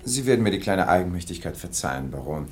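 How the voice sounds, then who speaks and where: A man's voice: low-pitched, big pitch swings, fast talker. An actor playing a suave, coolly ironic English nobleman in a radio play. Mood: aloof. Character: suave aloof English lord.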